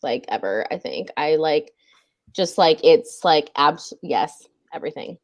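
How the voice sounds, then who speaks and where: A woman's voice: sits low, expressive, measured pace. A woman gushing enthusiastically about a favorite book in a casual book club call. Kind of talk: casual video call chat.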